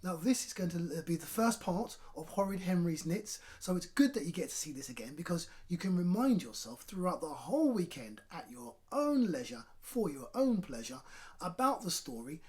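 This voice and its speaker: A man presenting a children's storytime, talking directly and encouragingly to young listeners and narrating in a lively way. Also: on the high side.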